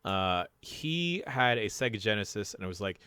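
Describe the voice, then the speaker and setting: Lively, animated male storyteller. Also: lowish voice, moderate pace, clean tone, no room sound. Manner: lively storyteller, slangy, swears casually. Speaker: podcast co-host. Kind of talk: casual chat, animated anecdote.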